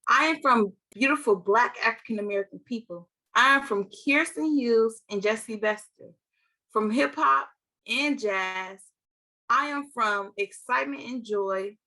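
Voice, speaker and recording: A teenage girl from Milwaukee giving an energetic, scripted youth-leader talk. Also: a little high, rough recording. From Milwaukee, Wisconsin. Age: teens. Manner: energetic, upbeat.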